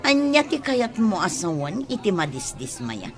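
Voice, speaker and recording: A woman's voice: mid-range voice, sing-song, moderate pace, lightly acted. A woman playing a greedy, domineering middle-aged village mother with an Ilocano accent and a pushy, mocking manner in a radio drama. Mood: nosy.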